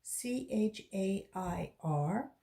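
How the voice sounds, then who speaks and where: A woman's voice: mid-range voice, animated, extremely slow, creaky, warm tone, heavily acted. A woman voicing a helpful, clever schoolgirl in a children's storybook, matter-of-fact in manner.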